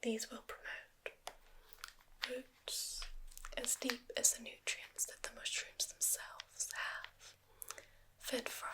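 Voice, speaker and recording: A woman voicing a gently sinister female forest witch in an ASMR roleplay, whispering softly with teasing dark humor. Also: on the high side, little pitch movement, slow pace, long pauses. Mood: hushed, sly. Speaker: ASMR creator.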